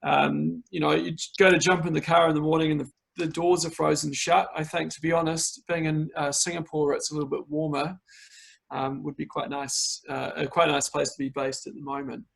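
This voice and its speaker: A man with a New Zealand accent, a winemaker presenting an online tasting over a video call and talking in a relaxed way, full of fillers. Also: on the high side, fairly quick, creaky.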